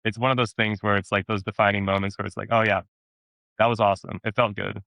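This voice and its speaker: Male actor as interview guest: low voice, rapid delivery, animated storytelling, close dry sound. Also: gravelly, dry recording. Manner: animated storyteller, repeats words for emphasis and acts out quotes. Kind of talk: casual interview chat.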